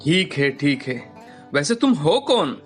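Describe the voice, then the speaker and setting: A man's voice: on the high side, big pitch swings, slow. A man voicing an earnest, stubborn young man, a pampered lovestruck son in a cartoon story. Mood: curious. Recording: music bed behind the voice.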